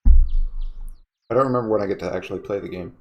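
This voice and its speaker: A young man with a raspy, sniffly voice, chatting casually to his viewers while streaming a video game. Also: fairly low pitch, fairly quick, muffled recording. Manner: chatty, reading chat aloud. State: sniffly, coughing. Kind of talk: casual chat while gaming. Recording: game music behind the voice. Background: video game music.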